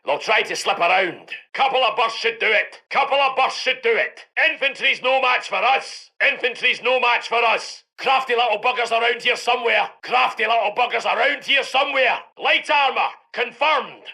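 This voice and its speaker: Gruff, combative Scottish tank commander for a war game; high-pitched for a male, gravelly and intense. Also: normal speed, few pauses, sharp tone, full character voice. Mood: steady, determined. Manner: barking, emphatic military commands. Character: gruff, aggressive Second World War British tank commander. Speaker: game voice actor. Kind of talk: video game unit voice lines.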